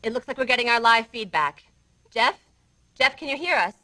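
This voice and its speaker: A woman's voice: on the high side, lively intonation, moderate pace, heavy background noise, lightly acted. A woman playing a news co-anchor in a game's parody newscast, earnest and announcerly. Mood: flustered. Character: poised female TV news co-anchor.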